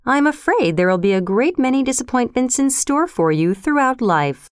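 Woman voicing a stern, clear-voiced woman guardian. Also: high-pitched, big pitch swings, no echo, studio quality, pitched above the performer's own voice. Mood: resigned. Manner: firm, measured, reproving. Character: stern, sensible, restrained woman guardian of a girl. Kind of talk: storybook character voice.

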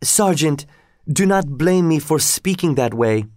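A man's voice: a little high, a little slow, dry recording, studio quality, pitched above the performer's own voice. A man voicing a pretty, polite young French woman, frightened and pleading, in an audiobook story. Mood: polite, shaking. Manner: pleading, excited, politely ironic.

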